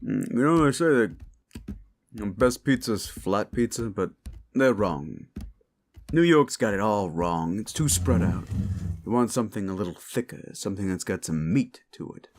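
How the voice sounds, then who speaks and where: A man playing a gruff, world-weary demon agent who hunts monsters, with a terse, laid-back, wry drawl, in an action-horror audio drama. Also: on the low side, expressive, moderate pace. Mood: casual, wry.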